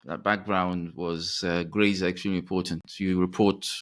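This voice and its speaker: A man's voice: sits low, little pitch movement, normal speed. A man from Africa hosting a podcast interview, earnest and encouraging, often repeating words. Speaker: podcast host. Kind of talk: interview conversation.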